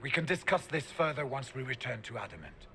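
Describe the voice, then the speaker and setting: Casting: a proud knightly fantasy warrior in a game, formal speech, ringing bright tone, lively intonation. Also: male voice, mid-range voice, fairly quick, slight background noise. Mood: grave. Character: proud, honourable male Grey Warden warrior. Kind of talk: dramatic video game acting.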